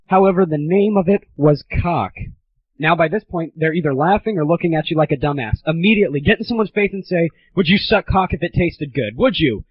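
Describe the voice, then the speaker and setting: A man's voice: fairly high pitch, lively intonation, fairly quick, breathy edge. A teenage man hosting a casual comedy monologue on a gaming audio show, irreverent, crude and mock-grandiose, on a lo-fi recording. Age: teens. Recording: dull lo-fi 1990s internet audio.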